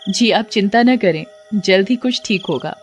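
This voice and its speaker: Lady doing a farmer's wife, polite n earnest, medium pitch, cartoon story, soft music behind. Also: animated, normal speed, breathy edge. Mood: soothing. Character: farmer's dutiful young wife, soothing, agreeable. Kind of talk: cartoon moral story acting.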